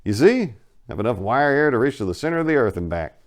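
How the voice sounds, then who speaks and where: A man voicing a calm, patronizing male explorer of the occult in a dramatic horror story reading. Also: medium pitch, pitched above the performer's own voice. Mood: cool, insistent. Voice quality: mellow. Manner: brisk, unperturbed, patronizing.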